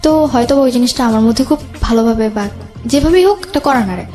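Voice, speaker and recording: A young woman recounting her own life as an interview guest, in a halting, hesitant way. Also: fairly high pitch, lively intonation, warm tone. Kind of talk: personal storytelling in interview. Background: background music.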